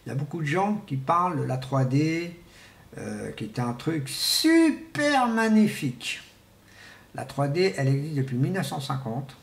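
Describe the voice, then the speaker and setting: Halting man rambling in an echoey room. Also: fairly high pitch, very animated. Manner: halting, hesitant, repeats words and restarts; often clears throat. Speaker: vlogger. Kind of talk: rambling informal monologue to viewers. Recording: echoey room with faint background noise.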